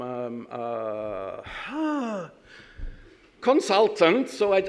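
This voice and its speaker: A man from Austria with an Austrian German accent, giving a technical conference talk, halting with many ums and restarts, with dry self-deprecating humor. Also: on the high side. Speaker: conference speaker.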